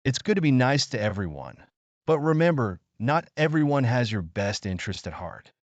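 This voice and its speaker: Man voicing a wise old female owl, lively pitch. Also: moderate pace, no room sound, highs cut off, close to an everyday voice. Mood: gently cautioning. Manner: thoughtful, advising.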